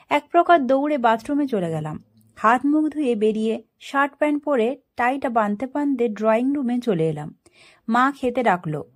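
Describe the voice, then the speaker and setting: A woman storyteller narrating a first-person story in an expressive, dramatic way. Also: a little high.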